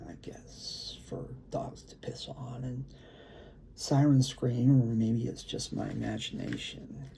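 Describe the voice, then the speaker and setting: A man with a gravelly, creaky voice reads his own poetry as spoken word, with deliberate phrasing and emphatic stresses. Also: mid-range voice, wildly swooping pitch, warm tone, in a whisper. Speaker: poet reading own work. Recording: faint background room noise.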